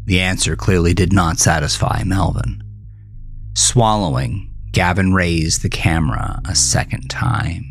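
Slow low-voiced man narrating horror. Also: gravelly, husky. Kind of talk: scripted horror story narration. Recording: music behind the voice. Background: music.

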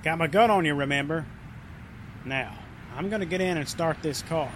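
Folksy, menacing killer in a highish voice man acting. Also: moderate pace, fairly bright tone, slight background noise, lightly acted. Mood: threatening. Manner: folksy, terse, threatening. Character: menacing, coldly practical rural male killer. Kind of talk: 1940s radio drama acting.

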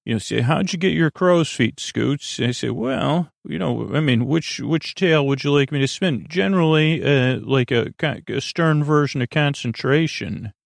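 Male sleep podcast host: low-key soothing rambler, gravelly creak, close dry sound, wandering and stumbling gently through sentences. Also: dry recording. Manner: meandering, halting, self-interrupting; lulling, soothing.

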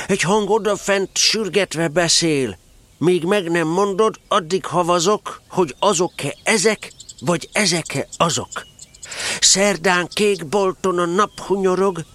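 A man's voice: high voice, fairly bright tone. A man voicing a naive, friendly little bear in a children's audio drama, earnest and a bit hesitant. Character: slow-witted, friendly, dreamy little male bear. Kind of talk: children's audio drama acting, reciting verse.

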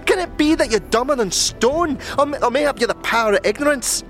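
A woman voicing a sharp-tongued young tiefling thief who mocks and insults in thick street slang, in an audio drama. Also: high-pitched, expressive, fairly quick, faint music behind. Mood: scornful.

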